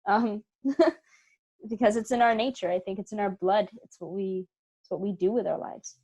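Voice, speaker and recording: A woman's voice: medium pitch, fairly even intonation, moderate pace, mellow. A woman speaking conversationally as a theatre performer being interviewed. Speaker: actor and theatre company member, interview guest.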